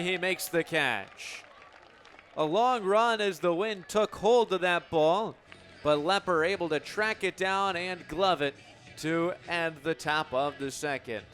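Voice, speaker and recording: Male radio baseball announcer, slightly high register, measured pace, clipped calls that build on big plays, faint ballpark ambience behind. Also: a bit bright, a little hiss or hum. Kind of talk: live sports play-by-play commentary.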